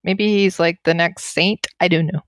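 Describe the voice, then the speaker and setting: A woman's voice: lowish voice, steady tone, normal speed, no echo, clean studio sound. A woman co-hosting a podcast with teasing, playful casual banter. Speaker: podcast co-host.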